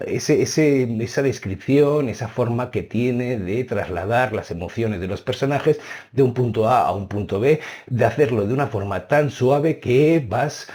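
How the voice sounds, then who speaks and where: A man, a native Spanish speaker, casually reviewing books he has read, animated and stressing key words. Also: medium pitch, a little slow. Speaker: book reviewer.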